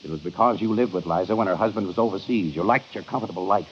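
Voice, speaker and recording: An actor playing a shrewd, authoritative police inspector in an old radio crime drama, speaking deliberately and accusingly. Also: male voice, low-pitched, natural voice. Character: shrewd, commanding male police inspector exposing a killer. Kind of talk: 1950s radio drama acting. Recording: muffled, hissy old radio broadcast.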